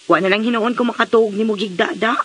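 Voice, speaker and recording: A woman's voice: on the high side, fairly even intonation, quick pace, a bit bright, slightly rough. A woman with a Visayan Filipino accent playing a dreamy, cheeky teenage daughter in a radio drama. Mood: puzzled.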